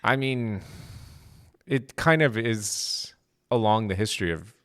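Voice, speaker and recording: A man's voice: on the low side, takes it slowly, a bit bright, clean tone. A middle-aged man from Japan, a game studio co-founder, chatting in an interview with many fillers and restarts. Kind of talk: casual interview conversation.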